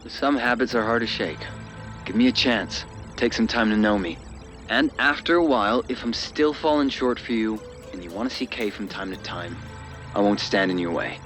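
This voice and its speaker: Game villain-suitor male actor, lowish voice, polished and self-assured, quietly menacing courtesy, music underneath. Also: lightly acted. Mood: courteous, self-assured. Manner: formal, measured, condescending, self-assured. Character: smooth, entitled male suitor with menacing politeness, powerful official. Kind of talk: video game cutscene acting.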